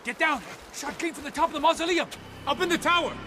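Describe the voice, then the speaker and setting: Urgent, very high-pitched action-game companion. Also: male voice, fast talker, slight background noise. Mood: alarmed. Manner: urgent, commanding. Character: urgent protective companion under sniper fire. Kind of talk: cinematic video game acting. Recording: loud music behind the voice.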